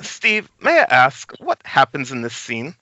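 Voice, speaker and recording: A man's voice: medium pitch, wildly swooping pitch, measured pace, bright, forceful tone, dry recording, full character voice. A man playing a deadly serious, intimidating Italian cinematographer with an Italian accent, dry and formal in a script read. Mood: cool, haughty. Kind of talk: script table read over video call. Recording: muffled, noisy internet call.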